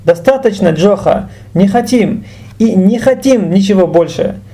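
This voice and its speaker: A man teaching a language, explaining and translating phrases in a deliberate, instructional way. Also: sits high, animated, mellow.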